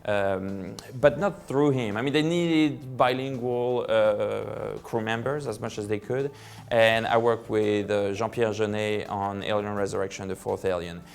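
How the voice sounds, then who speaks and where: French-accented man, low voice, chatty. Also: a little slow, gravelly. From France. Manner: rambling, many fillers and restarts. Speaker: film director. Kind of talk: casual personal storytelling. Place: living room of his home.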